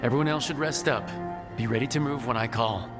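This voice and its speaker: An earnest video game leader, played by a man, with a mid-low everyday voice. He is steady, direct and composed, with music clearly audible behind. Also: a little fast, lightly acted. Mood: encouraging. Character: earnest, steady young male team leader. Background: game score.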